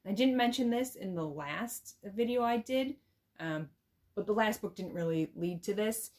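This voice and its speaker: A woman's voice: medium pitch, animated, normal speed, darkish tone. A woman teacher giving an instructional talk on reading aloud, explaining things and often checking in with a short question. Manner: explanatory, checks understanding with "right?".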